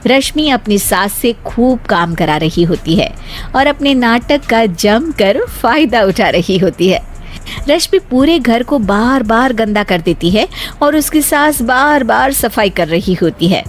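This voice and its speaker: A woman narrating a cartoon story in a lively storytelling way. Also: fairly high pitch, unhurried. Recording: faint music behind.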